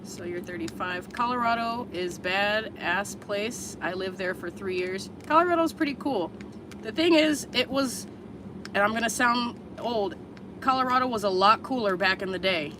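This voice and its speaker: A woman's voice: medium pitch, background noise. Blunt, candid woman in her thirties. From Chicago area, USA. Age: 30s. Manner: candid, blunt, swears freely, unhurried. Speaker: vlogger answering viewers live. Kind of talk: casual livestream chat.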